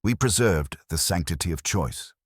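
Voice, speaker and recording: A man reads as a stout, lofty old detective who talks in slow, formal pronouncements. The voice sits extremely low, with a husky edge and a rise and fall that stays lively. It is close and dry, with no room around it. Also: no room sound. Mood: measured. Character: stout older male detective, measured and lofty.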